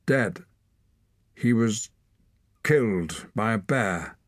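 A man's voice: on the low side, lively intonation, very slow, no echo, studio quality, pitched above the performer's own voice, full character voice. A man voicing a desperate, defiant boy. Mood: tense. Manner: pleading, insistent, breathless under threat. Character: wild young boy of the forest, defiant and desperate.

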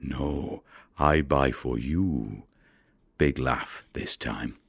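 An actor playing a world-weary, wary fisherman in an audio drama monologue, with a gravelly voice and a wry, colloquial way of telling it. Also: extremely low pitch, extremely slow, no echo, clean studio sound, exaggerated voice. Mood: amused, guarded.

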